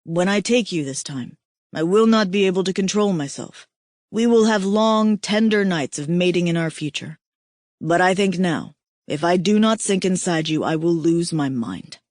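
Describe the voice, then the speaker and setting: A woman voicing a big, tender alien male who is devoted to his mate, speaking earnestly and softly. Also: fairly low pitch, lively intonation, moderate pace, no room sound, clean studio sound, close to an everyday voice. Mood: urgent tender.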